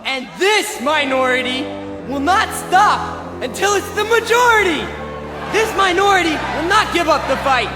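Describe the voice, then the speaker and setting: An actor playing a fervent young leader of a fringe political movement in a radio drama, with impassioned, rhetorical delivery. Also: male voice, very high-pitched, lively intonation, moderate pace, crowd noise. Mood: fiery, ranting. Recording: roomy echo, music behind.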